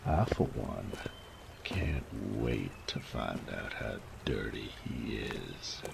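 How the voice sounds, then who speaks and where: A man playing a sadistic, teasing bandit in an audio roleplay, with a gravelly voice and a taunting, coarse drawl. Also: expressive, takes it slowly, noisy background, exaggerated voice. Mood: intrigued, sinister. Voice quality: gravelly, creaky low voice. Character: sadistic bandit rogue, menacing, teasing, mocking, flirtatious. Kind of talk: roleplay audio drama acting.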